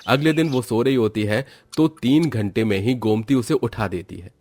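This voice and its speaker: A man's voice: medium pitch, normal speed, darkish tone. A man narrating a scripted family story as a storyteller.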